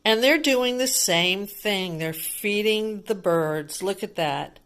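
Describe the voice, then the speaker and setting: A woman's voice: medium pitch, takes it slowly, fairly bright tone, smooth. A woman reading a picture book aloud to young children, adding chatty commentary and simple explanations and often putting questions to the child.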